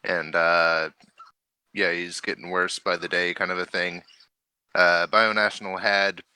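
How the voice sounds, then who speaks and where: A man's voice: low voice, little pitch movement, unhurried, pushed and bright, clear, resonant tone, no echo, poor audio. A man running a tabletop game, chatting casually with his players as game master.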